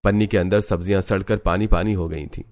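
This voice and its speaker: A man's voice: low-pitched, steady tone, fast, darkish tone, lightly acted. A man narrating a scripted cartoon family story as the storyteller.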